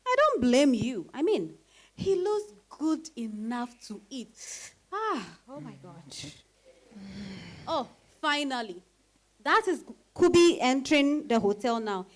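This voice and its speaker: A woman performing an outspoken, teasing married friend in a dramatized reading, animated and exclamatory. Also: sits high, slow, full character voice.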